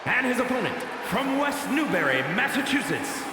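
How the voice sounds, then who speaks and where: Casting: male ring announcer, stretched-out booming intros, wildly swooping pitch, cavernous arena echo. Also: big pitch swings, moderate pace. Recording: echoey arena PA, loud crowd noise.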